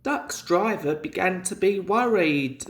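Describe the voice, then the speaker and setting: A woman reading a children's story aloud in a measured, deliberate way, as a scripted storybook narration. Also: lowish voice, animated. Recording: echoey room.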